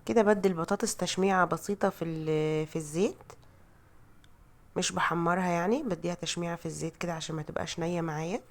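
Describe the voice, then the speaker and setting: Woman, Egyptian accent, slightly low pitch, rapid delivery, chatty, self-deprecating, voice altered by a cold, casual cooking voice-over. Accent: Egyptian Arabic. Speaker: home cooking vlogger.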